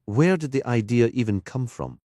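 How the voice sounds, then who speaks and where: A man's voice: medium pitch, animated, normal speed, mellow, dry recording, studio quality, pitched above the performer's own voice, lightly acted. Man playing a pressing, probing male detective. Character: persistent male detective questioning a witness. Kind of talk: audiobook character dialogue.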